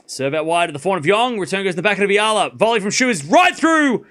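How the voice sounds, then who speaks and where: A man with a softened Australian accent calls a tennis match live for his stream viewers, his voice sitting a bit high. He jumps up in energy when a rally heats up, then slips into low asides as he answers chat. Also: fast, background noise. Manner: rises excitedly on rallies, drops low and muttering between points. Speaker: streamer commentator. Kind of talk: live play-by-play commentary with chat.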